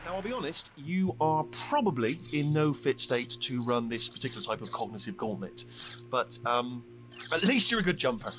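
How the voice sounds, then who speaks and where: An actor with a British accent playing a chatty, bumbling, eager robot sidekick in a video game, rambling and stammering. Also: male voice, mid-range voice, big pitch swings, moderate pace, music in the background, lightly acted. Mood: awkwardly encouraging. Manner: rambling, stammering, falsely reassuring.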